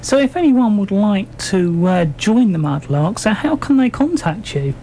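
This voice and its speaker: A woman asking radio interview questions in a halting, hesitant way. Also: mid-range voice, animated, warm tone, rough recording. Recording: faint background noise and ambience.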